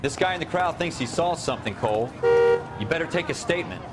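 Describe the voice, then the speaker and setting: Brash, higher-pitched street cop played by a man. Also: expressive, fast, natural voice. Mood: businesslike. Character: brash, wisecracking male street cop, tough and sardonic. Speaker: police officer. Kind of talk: video game crime drama acting.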